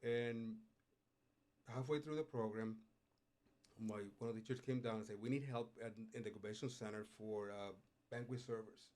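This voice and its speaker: A middle-aged man from Puerto Rico, a culinary instructor, telling his life story in a relaxed way, with frequent pauses and "uh"s, in a studio interview. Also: medium pitch. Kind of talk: casual interview. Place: radio studio.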